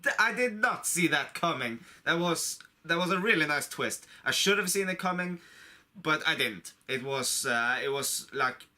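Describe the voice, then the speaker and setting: A man's voice: a little high, lively intonation, normal speed, sharp tone. A man getting over a cold gives a casual, unscripted movie review to camera, an enthusiastic fan who rambles and repeats himself. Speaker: online video creator reviewing a film.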